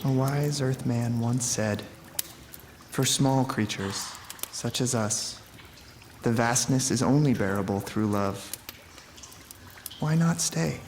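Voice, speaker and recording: A man playing an older, wise and patient station caretaker in a sci-fi audio drama, measured and philosophical. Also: medium pitch, darkish tone, slight background noise. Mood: serene, gentle.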